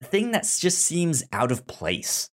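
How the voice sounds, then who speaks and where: A man's voice: a little high, huge pitch swings, measured pace. A man hosting a podcast in casual conversation, often stammering and repeating syllables and words. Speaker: podcast host.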